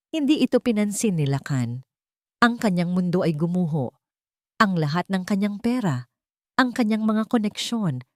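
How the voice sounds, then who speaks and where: A woman tells a scripted dramatic story in a fairly low voice. She moves in short, measured phrases with plenty of feeling. The sound is dry, with very little room around it. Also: a little mellow, dry recording. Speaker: audio drama narrator.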